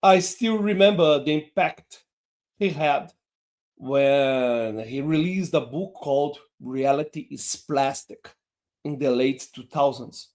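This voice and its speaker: Slow, deliberate male teacher. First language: Portuguese. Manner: slow, deliberate delivery with lively pitch swings. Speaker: hypnosis teacher. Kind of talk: online lesson.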